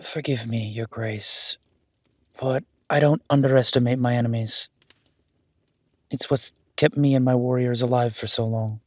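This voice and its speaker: A man playing a cautious warrior duke in a fantasy audio drama, respectful, measured and deferential. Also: mid-range voice, fairly even intonation, natural voice.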